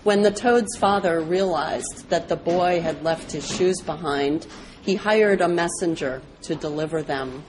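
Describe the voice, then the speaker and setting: A woman's voice: lowish voice, a little slow, noisy background. A woman reading scripted animal fables aloud as a storyteller at a public program.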